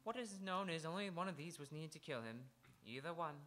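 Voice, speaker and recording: A man playing a morbid, nervy medical examiner in a radio noir drama, with dramatic, ominous, halting phrasing. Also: on the high side, expressive, sharp tone. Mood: morbid, intense. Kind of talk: theatrical old-time radio noir acting.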